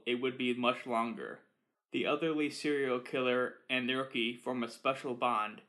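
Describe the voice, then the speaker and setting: A man's voice: mid-range voice, sharp tone. A man hosting a podcast, reading a scripted review as narration.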